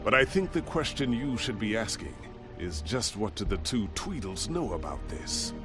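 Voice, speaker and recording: A man's voice: lowish voice, big pitch swings, normal speed, music in the background. An actor playing an arrogant, wealthy, short-tempered man in a video game, pompous and scornful. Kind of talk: dramatic video game acting.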